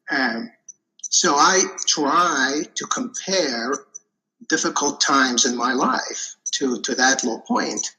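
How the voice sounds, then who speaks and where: This is a man from Iraq in his forties, speaking as a podcast guest. His voice is bright and forward, and he hesitates often, filling gaps with ums and uhs. He reflects on his life in a casual, earnest way. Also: mid-range voice, rough recording. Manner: hesitant, many fillers and restarts. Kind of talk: casual interview answers.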